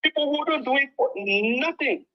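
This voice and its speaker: A man's voice: extremely high pitch, moderate pace. Liberian man, middle-aged, indignant rambling caller, muffled phone sound. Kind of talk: call-in political commentary.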